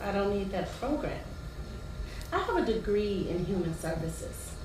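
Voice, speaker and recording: Far from the mic in a very echoey theater, an actress plays a struggling wife and mother with a high voice. She is proud and indignant, delivering her stage lines with defiant, sarcastic emphasis over faint noise. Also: sing-song, moderate pace, lightly acted. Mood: proud, curt. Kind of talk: theatrical stage play acting with dramatic monologue. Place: theater stage, recorded from a distance.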